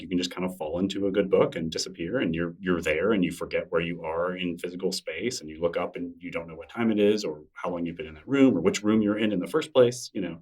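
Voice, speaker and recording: A man's voice: low voice, distant mic, low-quality recording. A man being interviewed in casual conversation, thoughtful and rambling, full of fillers and restarts. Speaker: interview guest, newsletter creator.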